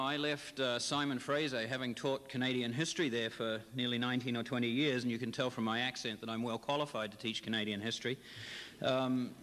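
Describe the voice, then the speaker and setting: A middle-aged male history professor answering interview questions in a chatty way, with many pauses and fillers. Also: mid-range voice, a bit bright. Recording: long reverb.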